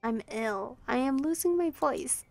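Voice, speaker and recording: A woman's voice: sits high, lively intonation, unhurried, creaky, darkish tone, dry recording, faint music behind, exaggerated voice. A woman doing a prim, scolding teen girl. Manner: curt, exasperated, scolding.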